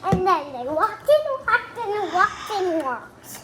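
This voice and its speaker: Very high-pitched little child telling a story. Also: very animated, slow. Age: young child. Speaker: puppet show storyteller. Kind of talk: improvised storytelling. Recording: noisy homemade recording.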